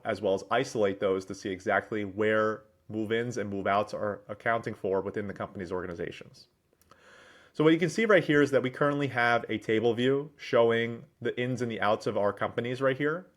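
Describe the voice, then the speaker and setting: A man narrating a business software demo in a businesslike, explanatory way, with wordy explanations. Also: fairly low pitch. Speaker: presenter, managing director at a software consultancy.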